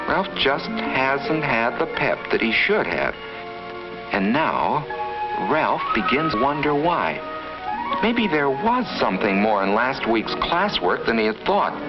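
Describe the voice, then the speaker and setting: A man narrating a 1950s educational film from a script, in an instructive, storytelling manner aimed at children. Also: medium pitch. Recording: muffled vintage 1950s film soundtrack. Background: background music.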